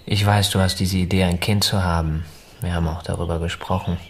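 Low-voiced possessive upper-class husband. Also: male voice, level tone, fairly quick, a little hiss or hum. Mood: pleading, condescending. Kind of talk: German radio drama acting.

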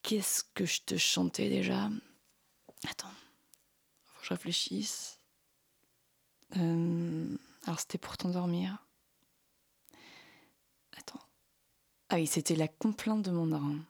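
A woman's voice: fairly low pitch, fairly even intonation, slow pace, gravelly, long pauses, slightly rough. A woman vocal performer murmuring hesitantly and musingly, as if trying to remember something in an intimate studio performance. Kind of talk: experimental vocal performance, singing mixed with murmured talk to a listener. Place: radio studio.

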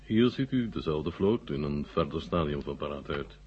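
An actor playing a proud, businesslike Soviet marshal in a 1970 radio drama, speaking with measured, formal authority. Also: male voice, a bass voice, lively intonation, darkish tone, slight background noise. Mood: proud, commanding. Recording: dull vintage 1970 radio recording.

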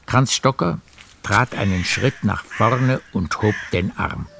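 A man narrating a literary radio play in a measured storytelling manner. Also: on the low side, creaky, background noise. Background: music.

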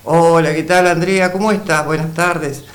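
A man with a slightly high-pitched voice co-hosts a community radio show. He talks casually and courteously, as if in conversation. There is music behind him. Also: steady tone, normal speed. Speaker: radio co-host.

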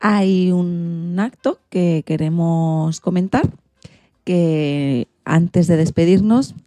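A woman's voice: low voice, mellow, no room sound. A woman with a Spain Spanish accent, presenting a scripted magazine radio show as its host.

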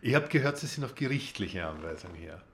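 An actor playing a formal, probing male police inspector in a radio drama, speaking in an official, measured way. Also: deep voice, sing-song, a bit bright, slight background noise, full character voice. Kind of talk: naturalistic radio drama acting.

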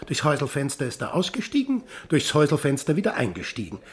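Blunt sardonic man, swinging pitch. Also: wildly swooping pitch, quick pace, exaggerated voice. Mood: angry, accusing. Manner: sardonic, gruff, confrontational. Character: blunt, combative sailor accusing villagers of wartime murder. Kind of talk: radio drama acting.

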